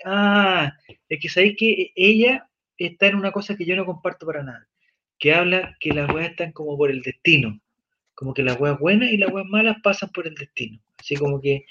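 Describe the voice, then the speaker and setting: A man's voice: high voice, sharp tone, lo-fi sound, slight background noise. A man with a Chilean accent hosting a casual chat, rambling and joking in slang while he reads viewer comments. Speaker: podcast host.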